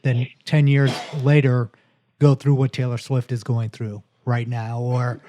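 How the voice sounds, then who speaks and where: A man's voice: medium pitch, little pitch movement, unhurried, dark tone, dry recording. A man co-hosting a podcast, chiming in with opinions on the business side of music. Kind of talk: casual interview chat.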